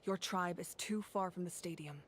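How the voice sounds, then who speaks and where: An actress playing a weary, resolute woman war leader in a video game, measured and commanding as she gives formal orders. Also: on the low side, close to an everyday voice. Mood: grave, resolute.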